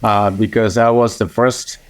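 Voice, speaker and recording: A middle-aged man from Kenya, speaking as an interview guest in a measured way with frequent pauses. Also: fairly low pitch, flat delivery, dry recording. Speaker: interview guest, organization president. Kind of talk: conversational interview.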